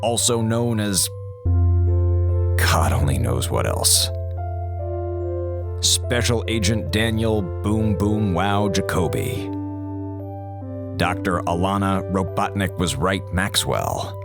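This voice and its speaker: An actor playing an excitable, wisecracking space-station communications officer who acts as a pompous radio host in an audio drama. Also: male voice, low-pitched, takes it slowly, gravelly, pauses often, loud music behind the voice, full character voice. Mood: grandly announcing. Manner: theatrical, pompous when announcing, flustered when talking. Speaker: co-host of an in-story radio show. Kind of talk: audio drama acting, mock broadcast narration.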